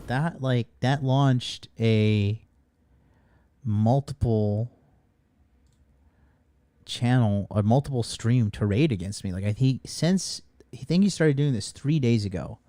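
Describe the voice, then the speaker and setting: A man hosting a podcast, talking fast and casually in an amused, chatty way. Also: medium pitch, mellow, no echo. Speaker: podcast host. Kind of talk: casual commentary.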